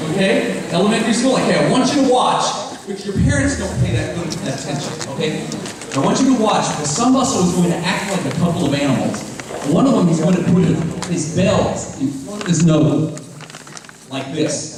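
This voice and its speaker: Echoey, distant man introducing a stage show. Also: sits high. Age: middle-aged. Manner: explanatory, addressing the audience directly with questions. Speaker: professor and puppet troupe leader introducing a show. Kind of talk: spoken stage introduction to an audience. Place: festival stage before an audience. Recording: very echoey, distant mic, faint noise.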